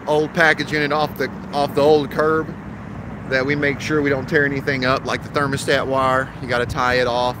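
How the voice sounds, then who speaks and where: A man in his thirties, an HVAC technician, talking casually to his phone camera on a commercial rooftop and explaining the job step by step. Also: medium pitch. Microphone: phone held at arm's length. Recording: outdoor phone audio with traffic noise.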